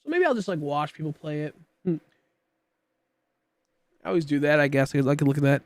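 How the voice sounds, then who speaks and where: A man in his late twenties chats loosely with his viewers and a friend during a game stream, wandering between topics and poking fun at himself. His voice sits a touch high for a man. The sound is close and dry, with no room around it. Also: animated, fairly quick, dry recording. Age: 20s. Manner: rambling, self-deprecating, chatting with viewers and a friend. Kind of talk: casual livestream chat while gaming.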